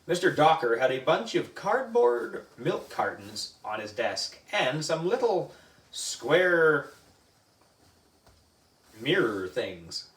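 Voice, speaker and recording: A man's lively, fairly high voice narrates a children's book at an unhurried, deliberate pace. He sounds a little away from the microphone in an echoey room. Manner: expressive, deliberate, acts out dialogue. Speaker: storyteller reading a children's book. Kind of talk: animated read-aloud narration. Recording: roomy echo, distant microphone.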